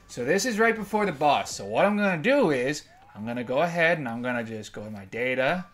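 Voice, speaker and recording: A man's voice: fairly high pitch, a bit bright. Joking young man commenting gameplay. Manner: casual, joking, swears freely. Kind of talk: let's play commentary. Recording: voice over game music.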